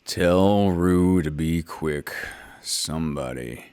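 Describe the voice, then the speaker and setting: A man voicing a gloomy old male donkey who grumbles slowly and mournfully. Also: rumbling low, darkish tone, clean tone, voice pushed down.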